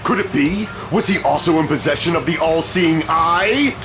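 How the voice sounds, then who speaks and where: A man's voice: on the high side, normal speed, over a noisy crowd, lightly acted. An actor playing an intense, theatrical young male student in an anime game. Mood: grave, amazed. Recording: loud game music underneath.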